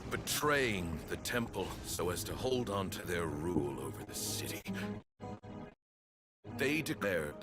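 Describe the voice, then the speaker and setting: A man's voice: low voice, very animated, a little slow, loud music behind the voice. A man playing a solemn old priest of an ancient desert temple, telling its history in a grave, ceremonial manner for a video game. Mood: grave, bitter. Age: older. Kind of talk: dramatic scripted storytelling in a video game.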